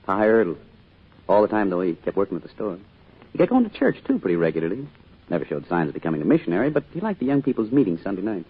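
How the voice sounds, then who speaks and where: A man's voice: lowish voice, wildly swooping pitch, fast talker, breathy edge, exaggerated voice. An actor playing a fond, easygoing middle-aged small-town father in an old-time radio drama, speaking with an American accent in a plain, folksy way. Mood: wistful, fond. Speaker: narrator and father character. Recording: dull, noisy old radio recording.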